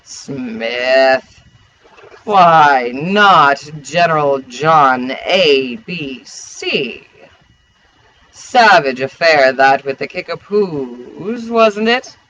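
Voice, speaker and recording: A man voicing a foppish, evasive gentleman gossip in a storybook, drawling out his syllables in a rambling, affected way. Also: fairly high pitch, expressive, very slow, muffled recording, pitched below the performer's own voice, heavily acted.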